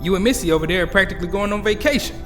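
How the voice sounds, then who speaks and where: Man as a high-voiced grumbling teenage boy hero. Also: very fast. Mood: indignant, griping. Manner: sarcastic, slangy, complaining. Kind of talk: audio drama acting. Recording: long reverb, loud music behind.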